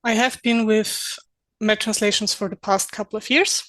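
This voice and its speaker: A woman's voice: mid-range voice, fairly even intonation, normal speed, a bit bright, no room sound. A young woman from Austria whose first language is German, speaking English as an interview guest and explaining her work in an earnest, methodical way. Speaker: podcast guest, localization project manager.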